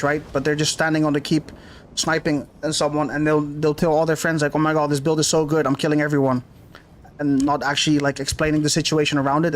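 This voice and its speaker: A man streaming a game live, rambling casually and thinking out loud as he plays and answers chat. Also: on the high side, a little hiss or hum. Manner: rambling, laid-back, thinks aloud with fillers.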